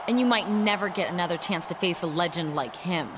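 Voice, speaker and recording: A woman's voice: lowish voice, a little fast, noisy background, close to an everyday voice. A woman playing a pragmatic friend in a video game, conversational and probing as she eggs someone on.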